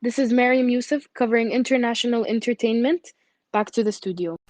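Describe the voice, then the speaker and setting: A woman's voice: on the high side, fairly even intonation, a little fast, darkish tone, dry recording. A woman reporter closing a scripted news segment. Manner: read news copy.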